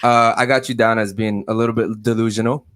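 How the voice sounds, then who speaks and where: Male podcast host, relaxed and chatty, dry close mic. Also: lowish voice, brisk, dry recording. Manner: laid-back, says man and shout out a lot. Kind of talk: casual panel chat.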